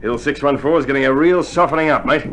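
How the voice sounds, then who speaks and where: A man's voice: medium pitch, fast, close to an everyday voice. A man acting a seasoned, watchful Australian soldier in an old radio war drama, talking in matey slang. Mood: grim, matey. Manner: slangy, matey commentary on the battle. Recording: noisy old vinyl recording, battle sounds behind.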